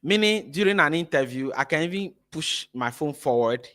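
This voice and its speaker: Man from ghana, teacher trainer, energetic, keeps asking right?, phone mic, streamed workshop. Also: on the high side, moderate pace. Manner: energetic, repeats words, checks in with "right?". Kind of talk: live-streamed workshop lesson. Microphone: phone camera mic.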